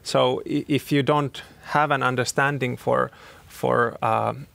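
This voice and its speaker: Gravelly, flat-voiced man. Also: mid-range voice, takes it slowly. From Finland. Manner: hesitant, many restarts and fillers. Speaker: company CEO, panel guest. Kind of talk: panel discussion answers.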